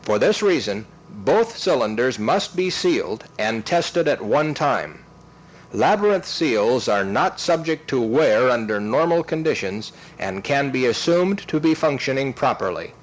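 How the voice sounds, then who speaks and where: A man reads scripted instructional narration in a methodical, step-by-step way, enunciating clearly. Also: mid-range voice, sing-song, a little slow, poor audio. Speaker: training film narrator.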